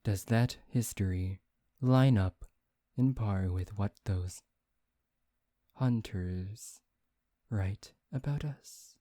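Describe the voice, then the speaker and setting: Man playing a slow, dark-voiced proud vampire. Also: no room sound, studio quality. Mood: weary, curt. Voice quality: low, dark, soft voice. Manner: slow, halting, pausing to search for words. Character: proud, dismissive male vampire lecturing on his history. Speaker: ASMR roleplay voice actor. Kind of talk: ASMR roleplay monologue.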